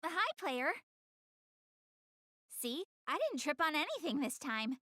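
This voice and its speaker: A woman's voice: high voice, sing-song, slow pace, bright, forceful tone, no echo, studio quality, exaggerated voice. A woman playing a proud, clumsy, secretly caring anime girl in a video game, chatty, defensive and easily flustered. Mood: casual, friendly. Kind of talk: English-dubbed anime video game cutscene acting.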